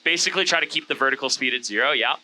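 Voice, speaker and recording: A man's voice: mid-range voice, rapid-fire, pushed and bright, rough recording. A man, a host and pilot, chatting casually and explaining things in an easygoing, informal way. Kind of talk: casual chat and hands-on instruction. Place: small airplane cockpit in flight.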